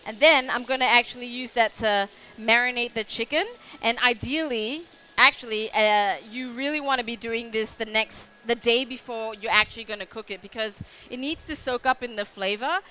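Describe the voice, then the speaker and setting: A woman's voice: fairly high pitch, moderate pace, few pauses, bright, forceful tone, slight background noise. Chatty young Australian woman demonstrating cooking. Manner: chatty, rambling with many fillers. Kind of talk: live cooking demo. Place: food show stage kitchen with audience.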